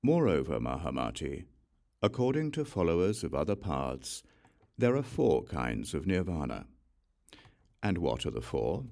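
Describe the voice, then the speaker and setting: Male audiobook reader for sacred text: very low, creaky voice, solemn and measured, with expressive pitch movement. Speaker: audiobook narrator. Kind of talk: scripted scripture narration.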